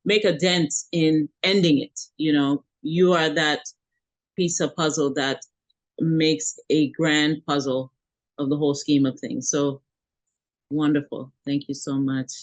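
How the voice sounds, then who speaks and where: A man's voice: a little high, steady tone, a little slow. A man hosting an interview, effusive and heavy on "you know" fillers. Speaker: interview host.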